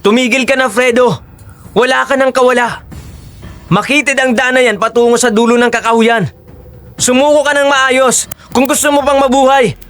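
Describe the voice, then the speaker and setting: Man acting a bold, commanding hero, bright and high. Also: fairly even intonation, normal speed, faint music behind. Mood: stern, urging. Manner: commanding, taunting. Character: bold, righteous male horseman pursuing a fugitive, commanding. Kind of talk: audio drama acting.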